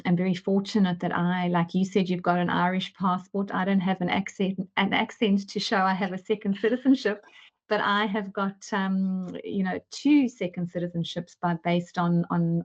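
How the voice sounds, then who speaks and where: A woman's voice: on the low side, a little mellow, dull audio. A woman from South Africa speaking as an advisor in a webinar discussion, hesitant, with many fillers and repeated words. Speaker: managing director at an advisory firm.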